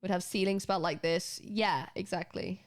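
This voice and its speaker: A woman's voice: on the low side, fairly even intonation, normal speed. A British woman streaming, chatting casually and rambling with her viewers, swearing freely and often restarting her sentences. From Britain. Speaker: livestreamer.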